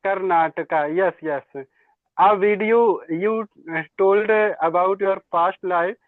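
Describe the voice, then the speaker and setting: A native Hindi speaker learning English, speaking in halting English and answering "yes, ma'am" again and again. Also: medium pitch, dry recording. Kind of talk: beginner conversation practice. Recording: tinny phone line.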